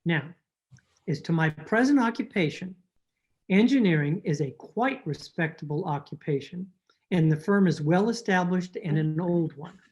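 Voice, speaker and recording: A woman's voice: fairly low pitch, a little mellow, close to an everyday voice. Woman playing dull-sounding, stuffy, awkward young suitor. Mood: earnest, nervous. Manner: formal, halting, pompous. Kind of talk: old-time radio drama acting. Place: at home, recorded over a video call.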